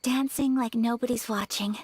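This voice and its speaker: An actress playing a gentle, refined young noblewoman in an anime video game, speaking softly, politely and earnestly. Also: on the high side, fairly even intonation, normal speed, husky, no echo. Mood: shy, warm.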